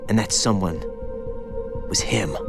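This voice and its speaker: A haunted, brooding young soldier. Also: male voice, low-pitched, takes it slowly, vocal fry, heavily acted. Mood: bitter confession. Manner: anguished, confessional, brooding. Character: haunted young male soldier, former child soldier, bitter and guilt-ridden. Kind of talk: dramatic video game cutscene acting. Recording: music behind the voice.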